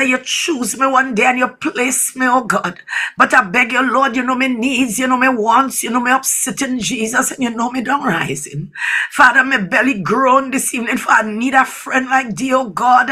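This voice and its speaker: Woman, fervent prayer leader; forceful, husky voice, pleading delivery. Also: fairly high pitch. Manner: pleading, repeatedly invoking God. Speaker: person leading prayer.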